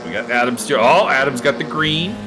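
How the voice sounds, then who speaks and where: A man's voice: mid-range voice, brisk, noisy background. A man commentating casually on a sim racing stream, talking in an unscripted, rambling and joking way with a co-host. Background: music behind the voice.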